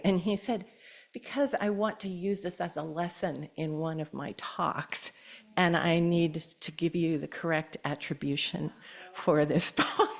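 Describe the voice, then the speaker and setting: An older woman shares a personal story at a church gathering, gentle and given to soft laughter. Her voice sits a little low, and she takes her time, unhurried and deliberate. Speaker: church speaker. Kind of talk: personal story and poem reading.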